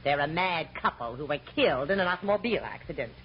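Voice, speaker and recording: A woman's voice: sits low, animated, breathy edge. A woman playing a gullible, fluttery middle-aged society wife in an old radio comedy, with a gushing, theatrical manner. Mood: earnest, puzzled. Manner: gushing, theatrical, drawn-out exclamations. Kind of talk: 1940s radio comedy acting. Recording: dull, hissy old radio recording.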